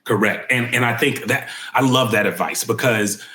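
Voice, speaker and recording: A middle-aged man hosts a podcast interview in a relaxed, conversational way. His voice sits at an ordinary pitch for a man. He gets animated when he acts out what other people say, and his voice rises when he quotes them. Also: normal speed. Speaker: podcast host.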